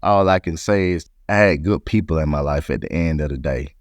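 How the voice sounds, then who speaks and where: Deep voiced guy from atlanta, interview guest, smooth and clear, telling personal stories. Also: fairly quick, no room sound. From Atlanta, Georgia. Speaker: interview guest, company president. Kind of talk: reflective personal storytelling.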